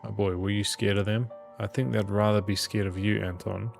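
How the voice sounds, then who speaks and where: Low, gravelly man narrating evenly. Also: level tone, brisk. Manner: reads aloud with small stumbles. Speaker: gaming channel host reading the game aloud. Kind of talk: dramatic narration of visual novel text. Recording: music behind the voice. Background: game music.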